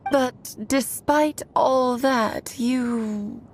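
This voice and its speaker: A woman's voice: sits high, very slow, slight background noise. A woman voicing a knowing, theatrical sage witch with a lilting, fond manner in a video game. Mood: musing, cautioning. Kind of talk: dramatic game voice acting.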